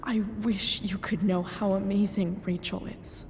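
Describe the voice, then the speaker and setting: A young woman playing an earnest, grieving teenage girl, blunt, halting and sincere, in a dramatic video game cutscene. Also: mid-range voice, little pitch movement, a little mellow, big-room sound, slight background noise, natural voice. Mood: earnest pleading. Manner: halting, sincere, sometimes profane.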